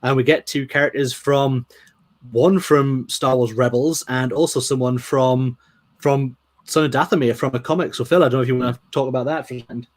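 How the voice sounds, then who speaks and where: Relaxed guy on a podcast, steady easy recap talk. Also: mid-range voice, brisk. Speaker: podcast co-host. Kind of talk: casual chat.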